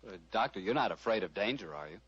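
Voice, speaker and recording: Animated American male radio announcer. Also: fairly low pitch, fast. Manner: smooth salesman, emphatic phrasing. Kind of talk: 1940s radio announcing, sponsor pitch. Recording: dry, muffled vintage radio recording.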